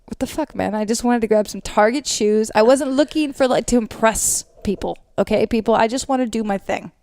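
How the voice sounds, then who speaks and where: A young American woman with a loud voice, telling stories as a co-host in casual, animated podcast chat and swearing freely. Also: a little high, brisk, no echo, studio quality.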